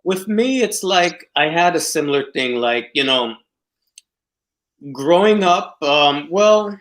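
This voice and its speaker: A man's voice: a little high, expressive, slow pace, a bit bright. A man from New York hosting a casual video call conversation, asking questions with hesitant phrasing. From New York City. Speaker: host.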